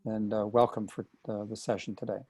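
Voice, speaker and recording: Unhurried man explaining over a call. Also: lowish voice, flat delivery, dark tone, slightly rough, dry recording. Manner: measured, hesitant, frequent fillers. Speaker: expert introducing the topic. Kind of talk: casual explanatory talk. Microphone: video call.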